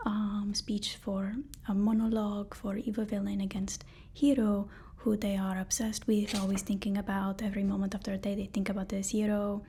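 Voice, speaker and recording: A woman playing a deferential, flustered personal assistant to a supervillain in a halting, whispered ASMR roleplay. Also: medium pitch, level tone, slight background noise, natural voice. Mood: eager to please. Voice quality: soft, breathy whisper.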